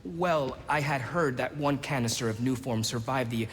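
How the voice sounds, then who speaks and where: A man's voice: medium pitch, normal speed, soft background music, lightly acted. Male actor as anxious, apologetic lab scientist for game cinematic; mid-range voice, hesitant delivery. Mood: nervous. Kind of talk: dramatic game cutscene acting. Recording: reverberant hall, faint noise.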